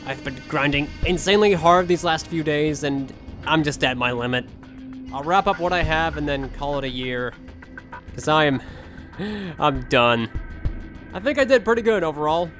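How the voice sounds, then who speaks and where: A man's voice: fairly high pitch, moderate pace, fairly bright tone, soft background music. A young man giving casual commentary as a gaming video creator.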